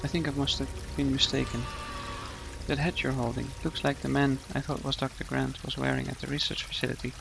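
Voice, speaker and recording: A man's voice: mid-range voice, little pitch movement, a little fast, creaky. A man acting a modest, anxious visitor facing his fears in an audio drama. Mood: uncertain. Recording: prominent music under the dialogue.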